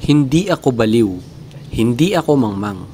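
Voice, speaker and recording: A man playing a misunderstood old philosopher in a grave, deliberate, oratorical dramatic monologue. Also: mid-range voice, animated, dark tone, slight background noise, natural voice. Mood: pleading, defiant. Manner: oratorical, deliberate, rhetorical questioning. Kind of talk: theatrical dramatic monologue in Filipino.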